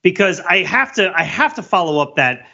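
A man's voice: sits high, sing-song, moderate pace, gravelly, pushed and bright. A man co-hosting a casual, comedic podcast, explaining things emphatically and with enthusiasm, on a slightly lo-fi microphone. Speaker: podcast co-host. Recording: slightly dull, lo-fi microphone sound.

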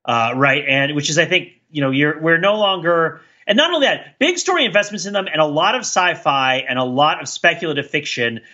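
A man's voice: fairly high pitch, lively intonation, fairly quick, few pauses, pushed and bright. A man co-hosting a podcast in casual chat, discursive and analytical, on slightly lo-fi audio. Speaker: podcast host. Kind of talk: casual chat about TV shows.

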